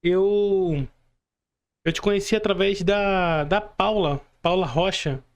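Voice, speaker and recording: A man's voice: high voice, slow pace. A Brazilian man chatting casually as a podcast co-host, repeating words for emphasis. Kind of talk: casual chat.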